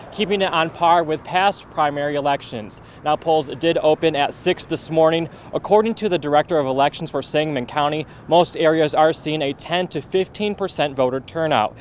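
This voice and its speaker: Higher-pitched American male reporter outdoors. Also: a little fast, few pauses. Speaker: field news reporter. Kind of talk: live TV news report. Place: outdoors outside county election office. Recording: outdoor street noise with traffic.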